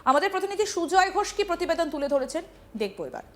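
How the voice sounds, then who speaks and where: High-pitched woman news anchor. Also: very animated, fast talker. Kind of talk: scripted news narration.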